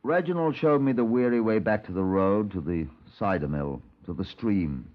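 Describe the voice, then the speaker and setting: A man's voice: fairly low pitch, animated, moderate pace, close to an everyday voice. Man acting an exasperated detective, old radio. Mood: weary narration. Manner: exasperated, sardonic. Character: exasperated, dry Scotland Yard detective, male narrator. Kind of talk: 1950s radio drama acting. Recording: dull 1950s radio recording.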